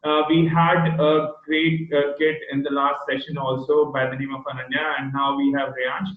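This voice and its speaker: A man hosting an online interview with a child guest, talking in a halting way and often stopping to search for words. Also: medium pitch, flat delivery. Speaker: host. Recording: echoey, tinny phone-like video call audio.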